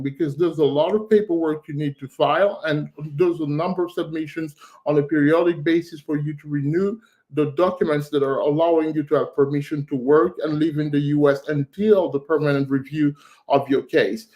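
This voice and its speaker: Measured higher-voiced man on a panel. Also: a little mellow. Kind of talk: personal story on a panel.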